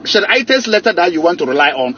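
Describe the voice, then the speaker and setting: High-voiced, insistent Ghanaian man on phone. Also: sing-song, quick pace, bright, forceful tone. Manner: insistent, repeats words and phrases. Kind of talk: private phone conversation, explaining and arguing. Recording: muffled, noisy secretly recorded phone call.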